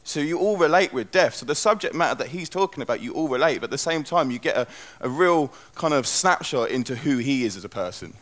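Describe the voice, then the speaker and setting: A man's voice: mid-range voice, animated, fast, few pauses, a bit bright. A young man gives a loose, chatty talk on a conference stage through a headset mic, full of restarts. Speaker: conference speaker.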